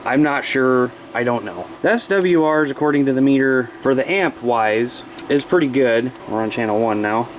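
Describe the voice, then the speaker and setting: A man's voice: medium pitch, moderate pace, a little hiss or hum, low-quality recording. A man from the US Midwest chatting casually to viewers as a hobbyist, rambling and plain-spoken while he demonstrates things. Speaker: hobbyist vlogger.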